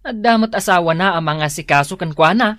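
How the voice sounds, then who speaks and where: A woman's voice: fairly low pitch, a little fast, a bit bright, no echo, close to an everyday voice. A woman playing a chatty, reassuring younger sister and daughter in an Ilocano radio drama.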